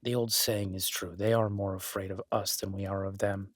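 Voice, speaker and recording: A man playing a solitary, kindly cabin dweller and former medic in an intimate audio roleplay, speaking haltingly with dry teasing and reassurance. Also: sits low, little pitch movement, no echo. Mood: teasing, reassuring. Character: gruff-kind solitary cabin-dwelling former EMT, awkward, teasing, protective.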